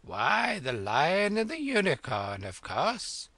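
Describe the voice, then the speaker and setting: A man voicing a fussy, excitable storybook king who speaks in slow, emphatic phrases. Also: fairly high pitch, no room sound, voice pushed up, heavily acted. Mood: excited.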